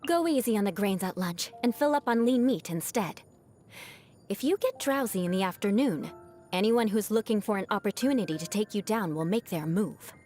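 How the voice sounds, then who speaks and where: A woman's voice: mid-range voice, animated, moderate pace, darkish tone, soft background music, natural voice. A woman voicing a proud young aristocratic knight in a video game, with a haughty, formal, teasing manner and a vengeful streak that hides a caring side. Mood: composed, haughty. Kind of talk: scripted video game character voice lines.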